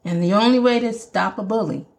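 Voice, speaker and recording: Gravelly Jamaican woman preaching. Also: mid-range voice, brisk, darkish tone. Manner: earnest, emphatic, with restarts. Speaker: podcast host. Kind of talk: preaching podcast monologue.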